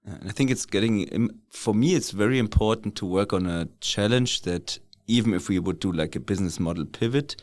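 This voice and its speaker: Low voiced guy on a podcast, dry close sound, thoughtful, pauses mid sentence. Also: darkish tone, dry recording. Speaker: startup founder, podcast guest. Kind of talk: casual, reflective interview talk.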